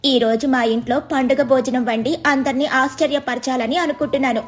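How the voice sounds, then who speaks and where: Woman as a boastful young housewife, fairly high. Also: steady tone, a little fast, faint music behind, lightly acted. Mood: excited, boastful. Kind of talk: storybook dialogue.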